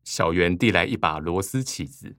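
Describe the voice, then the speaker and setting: A man narrates a radio drama from a script. His voice is low, and the recording is close and dry. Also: expressive, normal speed, a bit bright, no room sound.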